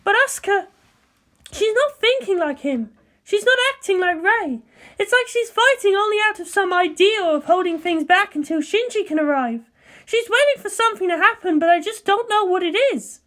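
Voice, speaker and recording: Woman playing an anxious, high-voiced female captain. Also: lively intonation, moderate pace, a bit bright. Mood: worried, insistent.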